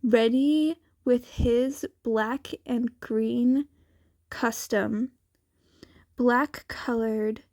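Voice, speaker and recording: Woman, story narrator, slightly high voice, unhurried reading, dry sound. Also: darkish tone, no room sound. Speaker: podfic reader. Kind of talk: scripted fan fiction narration.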